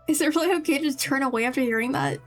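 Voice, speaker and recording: A woman's voice: high voice, fairly quick, faint music behind. A woman streaming a game, giving casual live commentary in an easy chatty style.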